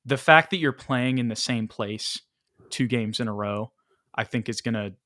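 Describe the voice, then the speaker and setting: A man co-hosting a sports podcast with a bright, forceful voice. He talks in a loose, animated way, laughs a lot and slips into playful voices when he quotes people. The sound is close and dry, with no room around it. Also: mid-range voice, moderate pace, no echo. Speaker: podcast co-host. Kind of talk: casual sports podcast chat.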